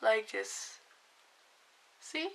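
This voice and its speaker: A young woman talks through a makeup tutorial in a chatty way, her voice hoarse from a cold. Her tone is bright and forward, and she takes her time, speaking slowly and explaining each step plainly. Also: on the high side, expressive, low-quality recording.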